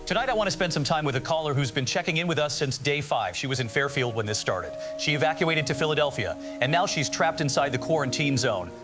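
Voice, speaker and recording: A man playing a weary, steady radio host on an emergency frequency in an apocalyptic audio drama, speaking somberly. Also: fairly high pitch, fast talker, hardly any pauses, over music, close to an everyday voice. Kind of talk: scripted radio drama broadcast.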